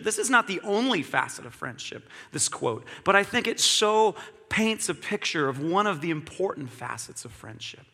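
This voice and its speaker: A man's voice: fairly high pitch, pushed and bright, in a large hall. A man preaching a sermon in an earnest, reflective way, repeating key phrases.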